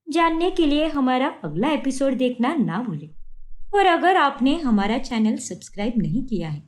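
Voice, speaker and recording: A woman's voice: high voice, very animated, moderate pace. A woman narrating a dramatic scripted story as a storyteller.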